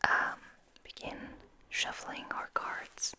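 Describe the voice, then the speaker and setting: A woman hosting ASMR in a breathy whisper, speaking in a soft, soothing, unhurried manner. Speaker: ASMR host.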